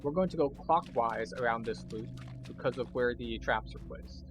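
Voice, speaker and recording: A man's voice: mid-range voice, moderate pace, music in the background. A man giving a casual walkthrough commentary as he plays a video game. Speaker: gameplay guide and streamer.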